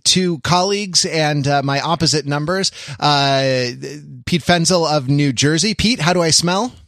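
An American man hosting a podcast in a casual, wry way, with halting, wordy, mock-formal phrasing. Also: fairly high pitch, a bit bright, no echo, studio quality. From California, United States. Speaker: podcast host.

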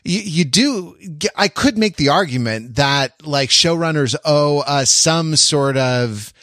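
A man with a slightly high voice hosts a casual talk about television. He speaks haltingly and playfully, repeating phrases as he finds his point. The sound is close and dry. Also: expressive, fairly bright tone, no room sound. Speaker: podcast host. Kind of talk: casual TV show discussion.

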